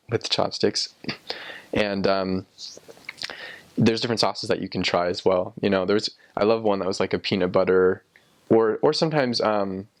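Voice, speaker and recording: A young man telling personal experiences casually, in a rambling, informal way as if thinking aloud. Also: on the low side. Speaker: former missionary sharing experiences.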